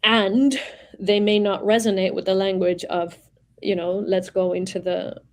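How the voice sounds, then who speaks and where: A woman in her forties from Venezuela, talking as an interview guest in a reflective way, pausing and searching for words as she explains. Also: medium pitch, level tone. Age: 40s. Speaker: guest, executive coach. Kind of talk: conversational interview.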